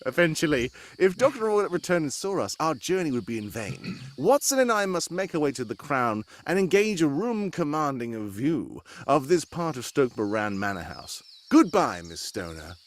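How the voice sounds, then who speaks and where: A man's voice: on the high side, very animated, normal speed, few pauses. A man playing a brusque, condescending detective in a live cold-read radio drama parody, theatrical and commanding. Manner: theatrical, commanding, breaking into ad-libs. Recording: live stage recording with background noise.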